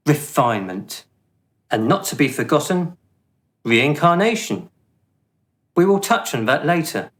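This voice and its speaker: A man playing a smooth, condescending afterlife official in a radio play, officious and deliberate in manner. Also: a little high. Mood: officious, coolly judging. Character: smooth, condescending male afterlife bureaucrat judging the dead.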